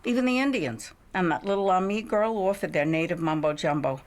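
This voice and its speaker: An actress playing a faded, reclusive older widow, wistful and wry, confiding theatrically in a game monologue with drops into whispers. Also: female voice, lowish voice, expressive, fairly quick, natural voice. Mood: wry, nostalgic. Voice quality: husky, breathy with creaky edges. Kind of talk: dramatic video game monologue.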